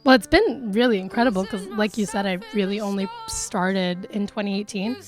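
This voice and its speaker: A woman in her twenties with a raspy voice, a musician talking sincerely and chattily in an interview. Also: mid-range voice, fairly quick, soft background music. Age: 20s. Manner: sincere, chatty, laughs often. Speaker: musician guest. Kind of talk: casual interview talk.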